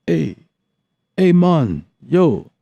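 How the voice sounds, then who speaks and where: A man voicing an excitable, joking man with a put-on island-style accent, talking high and playful. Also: extremely slow, vocal fry, a little hiss or hum, full character voice. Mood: excited. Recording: dull lo-fi sound.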